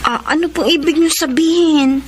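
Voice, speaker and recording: A woman's voice: high voice, normal speed, slight background noise, close to an everyday voice. A woman with a Filipino accent playing a shy, respectful young housemaid and hopeful student in a radio drama, polite and hesitant. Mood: puzzled.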